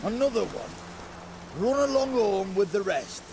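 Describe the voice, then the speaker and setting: Gruff, surly guard. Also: male voice, high-pitched, lively intonation, takes it slowly, noisy background, full character voice. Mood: irritated. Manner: gruff, impatient. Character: surly, foul-mouthed male checkpoint guard. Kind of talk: video game voice acting.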